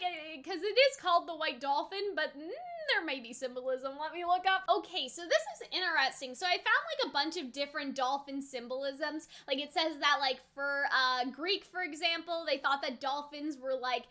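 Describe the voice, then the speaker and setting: A woman's voice: high voice, lively intonation, normal speed, few pauses, bright, forceful tone. A woman giving casual reaction commentary in a chatty, rambling way, peppering her sentences with "like". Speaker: anime reaction host.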